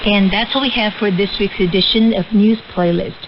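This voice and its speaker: A woman's voice: medium pitch, fairly even intonation, moderate pace, muffled recording, over loud music. A woman presenting scripted radio news on a shortwave broadcast.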